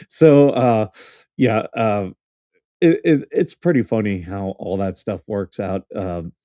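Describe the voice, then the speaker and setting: A former Marine officer co-hosting a casual talk, speaking haltingly with lots of fillers and breaking into easy laughs. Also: male voice, on the low side, mellow, no room sound. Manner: hesitant, many fillers and restarts, easy laughter. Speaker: co-host. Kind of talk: casual conversation.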